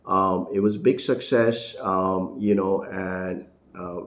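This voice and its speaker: A man's voice: sits low, steady tone, takes it slowly, fairly bright tone, echoey room. A man from India with a neutral American accent, an English teacher talking casually to camera, rambling with lots of fillers and restarts. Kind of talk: casual talking-head vlog.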